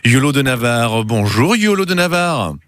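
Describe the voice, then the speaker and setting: A man's voice: mid-range voice, very animated, moderate pace, a bit bright, a little hiss or hum. A man hosting a morning radio interview from a studio, speaking close to the microphone. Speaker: radio host interviewing a guest.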